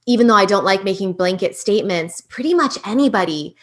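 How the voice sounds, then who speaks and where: A woman hosting an interview podcast, her voice high-pitched. She keeps the talk friendly and engaged, often affirming what her guest says. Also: lively intonation, brisk. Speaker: podcast host.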